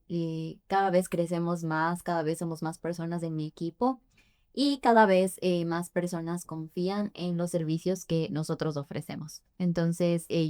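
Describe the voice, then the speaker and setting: Woman from Ecuador, lowish voice, hesitant. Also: mellow, clean tone, dry recording, clean studio sound. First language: Spanish. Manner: thoughtful, many hesitations with "eh". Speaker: immigration forms preparer, guest. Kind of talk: podcast interview.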